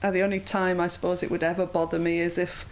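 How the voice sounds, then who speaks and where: A woman in her fifties with a soft Yorkshire accent, chatting as an interview guest, with plenty of ums and uhs. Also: fairly low pitch, flat delivery, fast talker, a little hiss or hum, low-quality recording. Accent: mild Yorkshire. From Yorkshire, England. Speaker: guest, yoga teacher. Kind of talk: casual interview chat.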